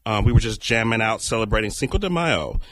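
A man's voice: on the low side, expressive, quick pace, a bit bright, dry recording. A man hosting a radio talk show, welcoming his guest and asking questions in an upbeat, enthusiastic way. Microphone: studio mic.

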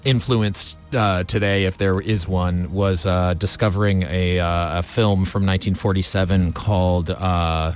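A man's voice: sits low, steady tone, a little slow, hardly any pauses. A man hosting a radio show in a casual, rambling, easygoing way. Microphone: studio radio microphone. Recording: music behind the voice. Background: music.

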